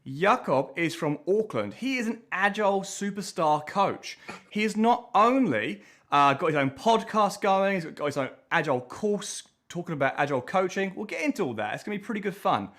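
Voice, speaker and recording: A man's voice: high voice, animated, normal speed, fairly bright tone. Chatty man hosting a casual talk. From from abroad, living in New Zealand. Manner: chatty, loose, rambling with restarts. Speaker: podcast host. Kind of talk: casual chat.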